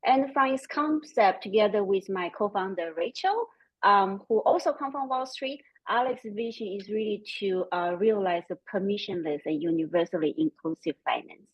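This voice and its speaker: A woman in her forties with a German-Chinese accent, speaking as a panelist in a measured way with frequent fillers. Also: medium pitch, breathy edge, muffled recording. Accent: German and Chinese mixed. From Austria. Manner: measured, with frequent "um" and "you know". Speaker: panelist, company CEO. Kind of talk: panel discussion.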